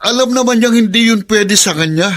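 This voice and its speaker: Exasperated, sharp-voiced grown son. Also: male voice, high-pitched, fairly quick. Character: stern, exasperated middle-aged son. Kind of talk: radio drama acting.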